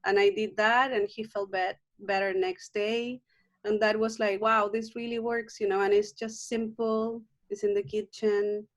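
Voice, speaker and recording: A woman's voice: medium pitch, muffled recording. A middle-aged woman from Chile with a Chilean Spanish accent, a native Spanish speaker, talking earnestly as an herbalist guest in a video call interview, often restarting her sentences. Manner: warm, earnest, frequent restarts and fillers. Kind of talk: casual interview conversation.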